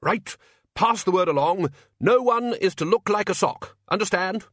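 Storybook wizard boss, male reader, older, loud and blustery, high swoops, sarcastic authority. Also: expressive, moderate pace, dry recording, clean studio sound. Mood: brisk, commanding. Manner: blustering, commanding, emphatic. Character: bossy, booming, sarcastic older male wizard university chief.

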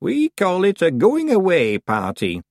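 A man voicing a very old, calm and stern witch woman with a dry, matter-of-fact manner. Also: sits high, a little slow, dry recording, voice pushed up, full character voice.

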